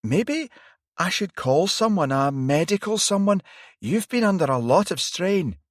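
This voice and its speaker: Male narrator doing a wary woman cop, high-pitched, blunt, in a close dry reading. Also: animated, measured pace, no room sound. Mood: alarmed. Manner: blunt, exasperated. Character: wary young adult female detective.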